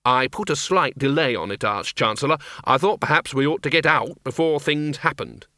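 Man playing an excitable gung-ho wizard dean. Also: a little high, normal speed, no room sound, studio quality. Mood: sheepish. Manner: eager, boyish military enthusiasm, deferential. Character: gung-ho, excitable male wizard dean, eager yet sheepish.

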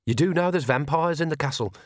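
A man's voice: fairly high pitch, quick pace, no echo, clean studio sound, voice pushed up, close to an everyday voice. A man playing a practical young witch woman in an audiobook, speaking in a direct, no-nonsense way. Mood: urgent, frank.

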